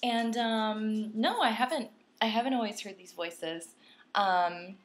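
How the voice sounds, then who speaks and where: A woman's voice: medium pitch, unhurried. A young woman from New York chatting casually to camera at her home desk, a little tipsy, talkative and giggly with lots of ums. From Long Island, New York. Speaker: spiritual vlogger. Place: home desk at night, candles.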